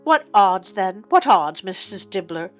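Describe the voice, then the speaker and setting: A woman's voice: medium pitch, very animated, measured pace, faint music behind. A woman voicing a brazen, defiant thieving servant woman in a storybook reading, bold and scornful.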